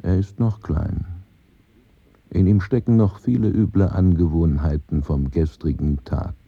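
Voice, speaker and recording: An actor in a German radio play, reciting as a solemn, measured revolutionary orator. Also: male voice, low-pitched, fairly even intonation, breathy edge, no room sound, narrow, tinny audio, full character voice. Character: solemn male revolutionary orator proclaiming humanity's rebirth.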